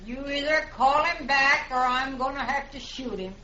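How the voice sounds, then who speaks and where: A woman playing a defiant, hardened frontier widow in a western radio drama, speaking deliberately. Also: high voice, pushed and bright. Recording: muffled old radio recording, faint hiss.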